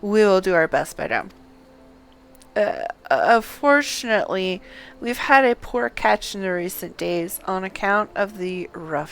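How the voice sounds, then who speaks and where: An earnest, deferential fishing villager from a fantasy game, acted out by a woman reading quest text. The voice is creaky and unhurried, eager to please and full of apology. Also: mid-range voice, fairly bright tone, slight background noise.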